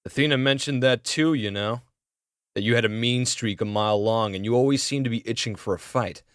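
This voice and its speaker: A man playing a scowling, curt demigod brawler in an audio drama, gruff and unimpressed. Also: medium pitch, brisk, fairly bright tone, dry recording, studio quality, natural voice. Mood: coolly menacing. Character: scowling, gruff, bored male brawler demigod itching to fight.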